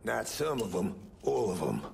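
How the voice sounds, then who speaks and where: An actor playing a foul-mouthed, boisterous aging mobster with an American accent and a gravelly, rambling, streetwise delivery in a video game. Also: male voice, on the low side, sing-song, moderate pace, heavily acted. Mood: wry bravado. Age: older. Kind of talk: video game character acting. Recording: game audio over loud music, engine noise.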